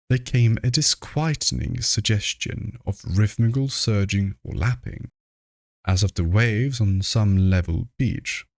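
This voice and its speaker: A man reading a horror story aloud as narrator, with measured, deliberate phrasing. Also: lowish voice, no room sound, studio quality. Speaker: narrator, livestream reader.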